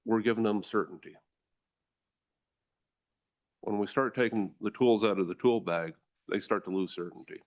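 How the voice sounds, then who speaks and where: Deep-voiced forceful American man testifying. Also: moderate pace, pauses often. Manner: forceful, earnest, addresses the room as ladies and gentlemen. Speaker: district attorney testifying. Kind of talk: formal testimony to a legislative committee.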